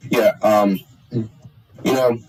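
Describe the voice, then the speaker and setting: A young man chatting casually on a stream, halting with many restarts and fillers. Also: on the low side, fairly even intonation, a little mellow, recorded from a distance, a little hiss or hum, rough recording. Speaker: streamer and hobby voice actor. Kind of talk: casual chat on a live stream.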